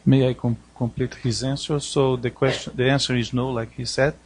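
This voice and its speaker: A man's voice: medium pitch, level tone, normal speed. A man chairing an academic discussion, speaking off the cuff and often rephrasing himself. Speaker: session chair.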